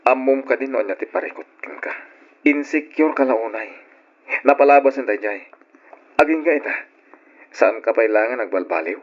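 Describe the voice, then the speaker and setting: A man playing an insistent married father in an Ilocano radio drama, with a husky voice. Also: mid-range voice, normal speed, long pauses, close to an everyday voice. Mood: irritated. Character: Jerome, insistent married father demanding truth about his son. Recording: muffled lo-fi old radio recording.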